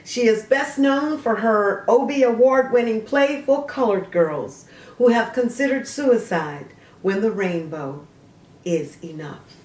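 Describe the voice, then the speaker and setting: Slow, deliberate woman in an echoey room. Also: a little high, lively intonation, a little mellow. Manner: emphatic, deliberate, broken into short measured phrases. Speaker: host of a literary video show. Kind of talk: dramatic, theatrical vlog monologue, partly reading aloud. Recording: roomy echo, distant mic, faint noise.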